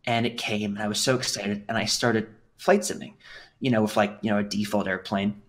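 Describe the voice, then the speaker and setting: Rambling young man with a low voice. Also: little pitch movement, fairly quick. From England. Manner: rambling, with many fillers and restarts. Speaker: podcast guest. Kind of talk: casual conversational storytelling.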